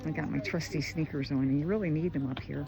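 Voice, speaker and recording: A man's voice: on the high side, fairly even intonation, fast talker, creaky, highs cut off, a crowd in the background. A man with a Philadelphia accent who rambles chattily while filming a travel vlog on a mountain trail, talking into a handheld phone. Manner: chatty, rambling, easily impressed. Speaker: travel vlogger. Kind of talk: casual walking vlog narration.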